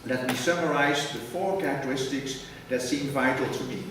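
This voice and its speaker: Man lecturing in an echoey hall. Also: mid-range voice. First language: Dutch. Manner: measured, reads a prepared text, precise wording. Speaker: academic lecturer. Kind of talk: formal academic conference lecture. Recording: echoey hall from far off with noise.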